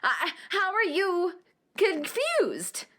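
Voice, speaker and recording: A woman's voice: very high-pitched, huge pitch swings, drawn-out words, fairly bright tone, exaggerated voice. A woman playing a frightened, furious and defiant phone-call victim in a radio play. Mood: furious, demanding.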